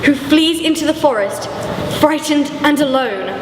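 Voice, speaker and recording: A woman's voice: high-pitched, a little slow. A young woman reading scripted narration in a presentational way. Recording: echoey church hall, noisy.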